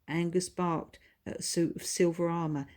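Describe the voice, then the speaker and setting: Woman, author reading, children's story narration, slightly low pitch. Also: little pitch movement, normal speed, a little mellow. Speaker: author reading own book.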